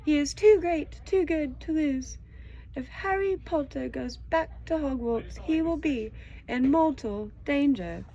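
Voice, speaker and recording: A woman's voice: sits high, expressive, a little slow, warm tone, voice pushed up, poor audio. A woman voicing an anxious, devoted little male house elf servant from a storybook, pleading and reverent. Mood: urgent warning. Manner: reverent, pleading, refers to himself in third person. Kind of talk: storybook character voice.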